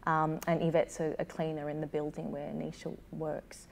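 Low-voiced, hesitant Australian woman. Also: flat delivery, normal speed, vocal fry, a little mellow. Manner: hesitant, thoughtful, self-deprecating. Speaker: playwright being interviewed. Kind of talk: casual interview chat. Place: small room, seated indoors.

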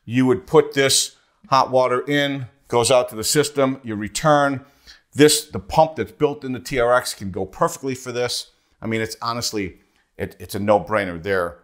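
A man in his forties walks through heating and hot water parts in a plain, practical way, pointing at a whiteboard and holding up fittings. His pitch sits at an ordinary middle level for a man. The sound is close and clean from a lapel mic in a bright studio. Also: moderate pace. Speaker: HVAC product specialist. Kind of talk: product walkthrough video.

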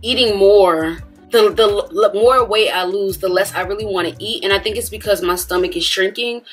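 A young woman talks straight to the camera in a relaxed, chatty vlog, gesturing as she goes. Her voice sits at a middle pitch, and faint music plays softly underneath. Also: moderate pace, husky. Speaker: vlogger. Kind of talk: casual talk to camera. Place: dim indoor room.

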